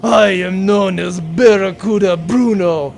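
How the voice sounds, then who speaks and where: A man's voice: high-pitched, slow, creaky, soft background music, heavily acted. A man playing a boastful, short-tempered space pirate captain in a comic sci-fi audio drama, bombastic and menacing. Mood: boastful rage.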